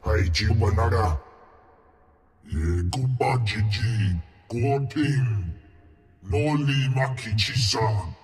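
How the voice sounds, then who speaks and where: A man's voice: animated, slow pace, in a large hall. A man voicing a huge, boastful slug-like alien crime lord who speaks only in an alien tongue, for a video game cut-scene. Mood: imperious. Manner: booming alien-language speech.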